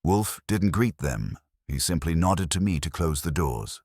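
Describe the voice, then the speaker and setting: Very deep-voiced male storyteller. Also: animated, a little mellow, dry recording, studio quality. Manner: measured, wry storytelling with deliberate emphasis. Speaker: narrator. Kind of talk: scripted first-person mystery narration.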